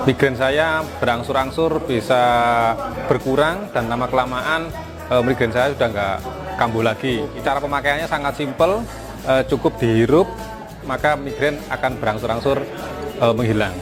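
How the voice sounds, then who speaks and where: A man's voice: medium pitch, moderate pace. Hesitant man over background music. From Malang, East Java, Indonesia. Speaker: self-employed customer giving a testimonial.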